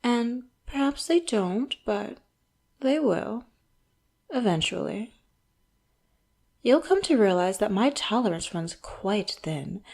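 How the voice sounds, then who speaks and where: A woman's voice: mid-range voice, vocal fry. A woman playing an elegant, condescending villain interrogator in an audio roleplay, speaking in a theatrical, mock-polite, taunting way. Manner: slow, theatrical, mock-polite, taunting, drops into hushed asides. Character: elegant, wealthy villain boss, coolly menacing and condescending interrogator. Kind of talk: audio roleplay, scripted monologue to the listener.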